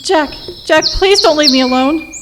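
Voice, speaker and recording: A woman's voice: high voice, normal speed, heavy background noise, lightly acted. A woman playing an anxious, pleading wife in a radio play, urgent and insistent. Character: anxious, pleading young wife fearing murder.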